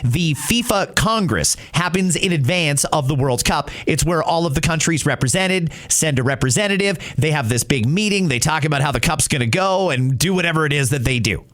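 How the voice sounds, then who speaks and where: A man's voice: a little high, lively intonation, a little fast, hardly any pauses, a bit bright. A man hosting a talk show, giving indignant political commentary full of rhetorical questions.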